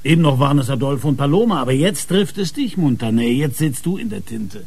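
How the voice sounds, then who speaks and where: An actor playing a hard, sarcastic police inspector grilling suspects in a radio crime drama, pressing and mocking. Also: male voice, medium pitch, expressive, fast talker, creaky, a little mellow, slightly rough. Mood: aggressive, insistent.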